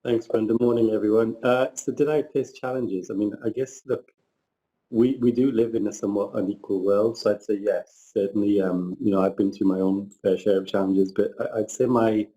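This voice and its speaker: A man's voice: lowish voice, fairly even intonation, normal speed, dark tone, poor audio. A middle-aged man from northern England, speaking reflectively as a panelist with frequent restarts and hesitations. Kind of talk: panel discussion, personal story.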